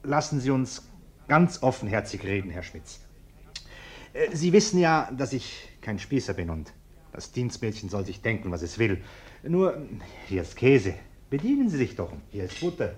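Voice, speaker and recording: Actor as a pompous bourgeois gentleman, swooping delivery. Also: medium pitch, very animated, normal speed, lightly acted. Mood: ingratiating, uneasy. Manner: over-polite, self-justifying, blustering. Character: respectable bourgeois businessman, ingratiating host, pompous and irascible. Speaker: actor in a radio play. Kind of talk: German radio play acting.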